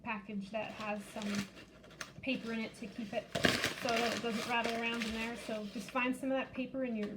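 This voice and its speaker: A woman's voice: on the high side, little pitch movement, fairly quick, heavy background noise. A woman hosting a children's storytime, reading aloud and explaining in simple, child-directed language. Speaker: library storytime host. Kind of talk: picture-book reading and craft demonstration.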